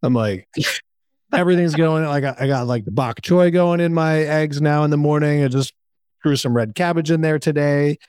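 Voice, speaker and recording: A man's voice: fairly high pitch, normal speed, darkish tone, clear, resonant tone, no echo. A man co-hosting a podcast, talking informally in a coaching style, posing questions and answering them himself. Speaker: podcast co-host. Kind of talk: casual conversational coaching talk.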